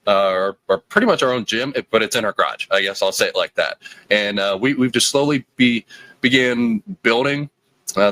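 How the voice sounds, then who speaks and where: A man in his late twenties rambles through a casual podcast monologue, self-deprecating and full of fillers and restarts. His voice sits at an ordinary middle pitch. The recording is dry and close, with no room sound. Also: bright, forceful tone, dry recording, low-quality recording. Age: 20s. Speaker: podcast host.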